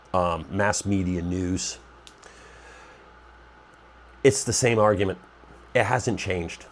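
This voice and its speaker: A man with a very deep voice, nasal and stuffed up from a cold, talks through his preparedness channel in a loose, rambling way. He keeps stopping to correct himself. A faint hiss sits under the recording. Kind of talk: casual talk to camera.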